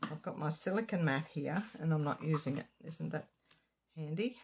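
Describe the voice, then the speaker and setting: Croaky, husky woman teaching card-making. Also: sits low, moderate pace, a little mellow. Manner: chatty, rambling asides while working. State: slightly croaky throat. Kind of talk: casual craft tutorial. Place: home craft room.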